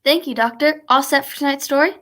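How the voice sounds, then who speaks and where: Woman as high, quick radio announcer. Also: a bit bright. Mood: curious, attentive. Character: eager, chatty radio announcer visiting a storyteller. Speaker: announcer and host. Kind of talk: old-time radio announcing.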